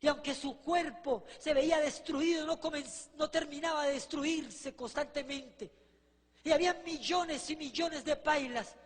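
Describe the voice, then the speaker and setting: A man's voice: very high-pitched, unhurried. A man preaching a vivid testimony in a fervent storytelling manner, often putting rhetorical questions to his listeners. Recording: reverberant hall with long echo.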